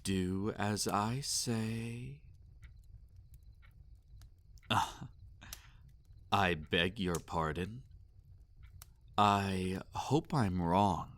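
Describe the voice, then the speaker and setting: A man playing a sadistic, possessive vampire master in a roleplay audio, speaking formally and condescendingly with silky menace and drawn-out commands. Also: low-pitched, fairly bright tone, full character voice. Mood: cold, threatening. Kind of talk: roleplay audio acting, intimate and theatrical.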